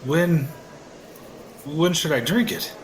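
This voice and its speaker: A man acting in an audio play as an anxious, indecisive everyman customer with a halting, uncertain delivery. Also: on the high side. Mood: anxious, resigned. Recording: clearly noisy background.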